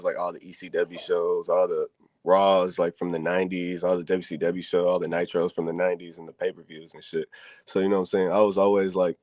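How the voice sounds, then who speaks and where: A young man with a very low voice talks casually as an interview guest. His slang is laid back, and "you know what I'm saying" caps nearly every thought. The sound is dry and close, with no room around it. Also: a little mellow, dry recording. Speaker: professional wrestler, guest.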